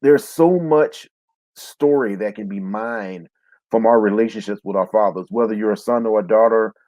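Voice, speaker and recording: A man's voice: on the low side, moderate pace, a little mellow, dry recording. A man speaking as a guest author on a panel, candid and reflective. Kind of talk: panel discussion.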